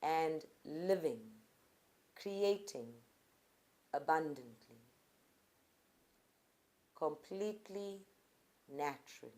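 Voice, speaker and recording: A woman guides a group meditation in a fairly low voice. Her delivery is very slow and deliberate, with short phrases set apart by pauses. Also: expressive, warm tone. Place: live group session at a centre.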